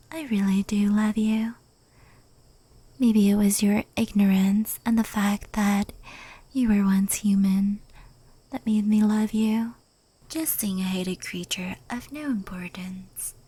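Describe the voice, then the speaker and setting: A woman playing a proud, affectionate fox spirit in an intimate ASMR roleplay, speaking earnestly in a soft, breathy whisper. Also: mid-range voice.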